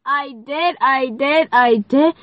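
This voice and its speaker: A woman's voice: high voice, takes it slowly, no room sound, lo-fi sound. Bubbly nasal teenage girl podcaster. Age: teens. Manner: bubbly, chatty, playful, many fillers. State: stuffy, nasal from sinuses. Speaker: podcast host. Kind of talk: casual faith podcast chat.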